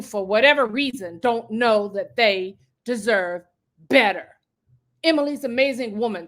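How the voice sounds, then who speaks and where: Actress as a fiercely indignant female friend, high-pitched, biting, dramatic monologue delivery. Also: fairly bright tone. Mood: fierce, dismissive. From Dominican Republic. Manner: biting, indignant, sprinkled with Spanish. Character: scornful, angry woman protective of her friend.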